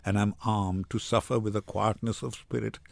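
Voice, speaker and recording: A man's voice: fairly low pitch, steady tone, moderate pace, gravelly, dry recording, voice pushed down. A man acting a resigned, stoic merchant with solemn, rhetorical delivery in a Shakespearean dramatic reading. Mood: stoic resignation. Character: resigned, stoic merchant Antonio, bitterly patient.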